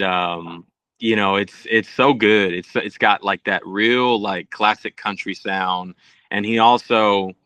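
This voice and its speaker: A man's voice: lowish voice, bright, forceful tone, clean tone, no echo. A man from Puerto Rico, a musician, chatting casually and earnestly as a podcast guest. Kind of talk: casual interview chat.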